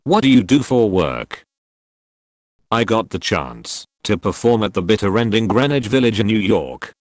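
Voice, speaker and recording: A man narrating forum posts and their replies in a steady reading voice. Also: lowish voice, normal speed, dry recording, clean studio sound. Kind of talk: scripted narration.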